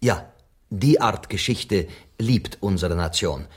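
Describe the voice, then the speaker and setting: Polite spanish go-between, deepish voice, measured, patient, radio drama. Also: male voice, breathy edge, exaggerated voice. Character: courteous Spanish interpreter, patient go-between for his master. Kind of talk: radio play acting.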